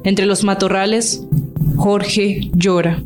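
A woman's voice: medium pitch, steady tone, slow, music in the background. A woman delivering scripted narration as the storyteller of a radio drama.

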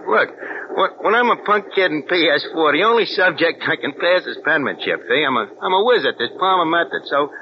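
A cocky New con man who forges checks for a living, all street slang and wisecracks. His voice sits fairly high and swings widely. It comes through a dull vintage radio recording with clear hiss. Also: expressive, a little fast. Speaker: narrator of his own story. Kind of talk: 1940s radio acting.

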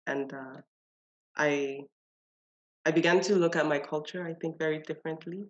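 A woman with a Nigerian accent, a designer and artist, telling her story in a podcast interview with thoughtful pauses and light laughs. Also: low voice, fairly even intonation, lo-fi sound. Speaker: guest, industrial designer and artist.

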